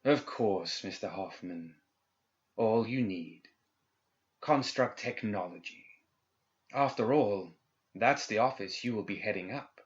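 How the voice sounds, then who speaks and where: A man's voice: fairly low pitch, animated, slow, long pauses. A man voicing a formal, coercive male official in an audiobook, speaking with polite menace. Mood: satisfied, formal. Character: smooth-talking, coercive male Guild official. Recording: slightly dull, band-limited recording.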